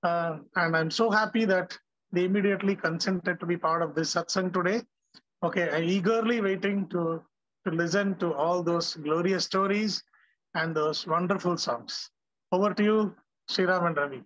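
A middle-aged man with an Indian accent giving an informal devotional tribute in a halting way, often punctuated with "okay". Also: high-pitched, fairly bright tone. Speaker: guest speaker introducing musicians. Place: living room at home, on a video call. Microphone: device mic on video call. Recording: dull, lo-fi video call.

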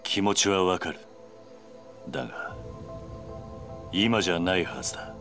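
A man's voice: sits low, a little slow, a bit bright, faint music behind. A man playing a stoic, commanding veteran yakuza in a video game drama, terse, firm and protective. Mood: grave, resolute.

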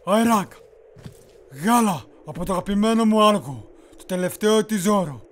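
A man playing a commanding, menacing Mongol warlord in a measured, persuasive way, in an amateur game dub. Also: high voice, expressive. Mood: calm menace.

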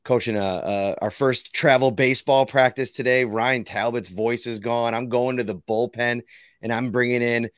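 Kinda hoarse guy hosting a sports podcast, upbeat and jokey, dry close sound. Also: medium pitch, steady tone, normal speed, no room sound. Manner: upbeat, joking, laughs often. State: slightly hoarse. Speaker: podcast host. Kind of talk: casual sports podcast chat. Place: home room with sports memorabilia, indoors.

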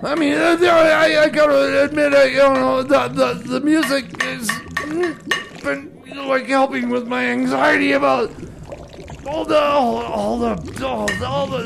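A very high, creaky voice of a flustered radio host in comedy radio theater. He halts and stammers in anguish, over clearly noisy background sound. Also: fairly even intonation, exaggerated voice. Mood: halting, pained. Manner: halting, stammering, whiny. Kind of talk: improvised comedy radio theater, back-announcing songs.

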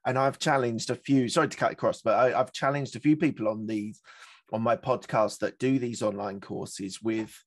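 A man's voice: medium pitch, fairly even intonation, normal speed, no echo, clean studio sound. Chatty male podcast host. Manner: chatty, rambling asides. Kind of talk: podcast interview questions.

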